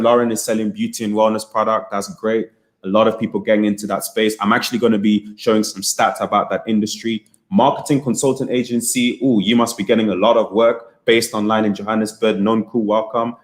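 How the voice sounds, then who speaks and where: Brief: young British man, lowish voice, chatty livestream host, upbeat and encouraging. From United Kingdom. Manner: chatty, encouraging, repeats phrases, frequent fillers. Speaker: business coach hosting a livestream. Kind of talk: casual livestream talk to chat.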